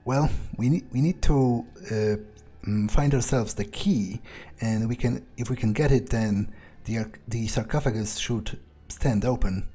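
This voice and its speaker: A man roleplaying a cocky, vindictive vampire in a video game, with halting, improvised lines and free swearing. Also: mid-range voice, mellow, husky, soft background music. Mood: casual, hesitant.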